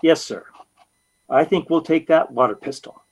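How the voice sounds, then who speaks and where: A man's voice: a little high, normal speed, slightly rough. A man playing a bashful, good-natured young husband in a radio comedy, hesitant and stammering. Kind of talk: old-time radio comedy acting.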